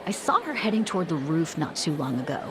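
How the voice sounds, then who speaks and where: A woman's voice: deep voice, sing-song, fast talker, background noise. A woman playing a friendly, sympathetic worker in a video game cutscene. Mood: helpful.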